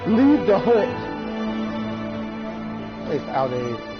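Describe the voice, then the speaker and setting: A male gamer calls out reactions to a game, repeating himself. His voice is high and swings widely, at a slow pace, on a dull mic. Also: big pitch swings, over loud music. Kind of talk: spontaneous gameplay reaction.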